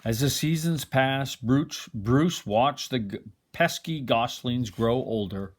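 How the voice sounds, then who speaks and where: An older man reading a children's picture book aloud expressively, like a grandfather, with dramatic pauses. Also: medium pitch, slight background noise.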